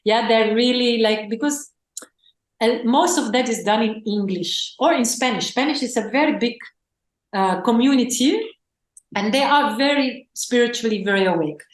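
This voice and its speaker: A woman's voice: a little high, normal speed, reverberant. A woman who is a spiritual teacher, talking enthusiastically as a podcast guest, rambling with frequent restarts. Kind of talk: enthusiastic interview talk.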